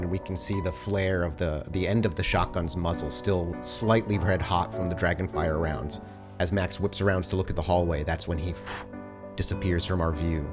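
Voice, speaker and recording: Deep-voiced man narrating with slow drama. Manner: measured, descriptive, dramatic storytelling. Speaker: game master, storyteller of an actual play podcast. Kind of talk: improvised cinematic narration for a tabletop roleplaying game.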